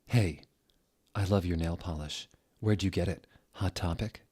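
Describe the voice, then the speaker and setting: A man's voice: deep voice, a little slow, darkish tone, husky. A man voicing a young, cool, foul-mouthed gothic female teacher in a comic story reading. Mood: breezy.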